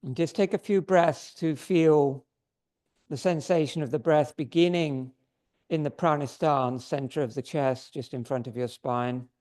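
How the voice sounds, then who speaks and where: A man's voice: on the high side, unhurried. Man leading a yoga class, voice going. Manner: gives calm, step-by-step posture and breath cues. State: losing his voice. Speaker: yoga teacher. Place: yoga studio.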